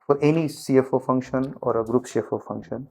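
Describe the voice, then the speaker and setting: A middle-aged man from Nepal, a company finance chief, answering interview questions in a measured way with frequent restarts. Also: medium pitch, creaky, dark tone, soft background music. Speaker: guest, group CFO.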